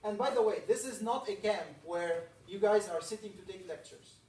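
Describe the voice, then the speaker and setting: A man's voice: sits high, moderate pace, darkish tone, slight background noise. Egyptian-born man rallying a camp, echoey. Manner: earnest, rallying, builds up emphatic lists. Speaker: camp speaker. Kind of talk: opening talk to a camp audience. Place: camp meeting hall. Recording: echoey room, voice from a distance.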